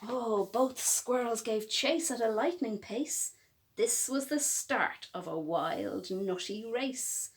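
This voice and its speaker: A woman reading a rhyming picture book aloud to children, expressive and dramatic, with emphatic pauses and drawn-out words. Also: a little high. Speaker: children's storytime reader.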